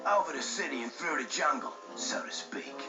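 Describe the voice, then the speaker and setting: A man's voice: fairly high pitch, animated, normal speed, lightly acted. A man playing a menacing Australian racer villain in a video game, mocking and threatening in thick slang. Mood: taunting, menacing. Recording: dull game audio, music behind.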